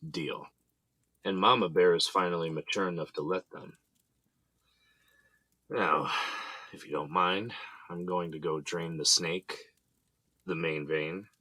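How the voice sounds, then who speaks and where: Very deep, husky playful husband acted by a man. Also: bright, forceful tone, exaggerated voice. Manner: teasing, jokey. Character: playful, teasing middle-aged husband and proud father.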